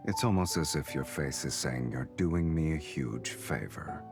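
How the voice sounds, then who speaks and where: An extremely deep male voice acted by a man for an aloof romantic-fantasy game character. He is terse and deadpan, taking his time with each cold, challenging line. Music plays clearly behind him. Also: a little slow, exaggerated voice. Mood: dry, sardonic.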